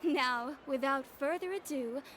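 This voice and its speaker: A woman's voice: sits high, unhurried, fairly bright tone. A woman voicing a polished, enthusiastic shop announcer in a video game, presenting formally, with faint noise around her. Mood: upbeat, formal. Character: polished female shop announcer, bright and promotional. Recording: background noise and ambience.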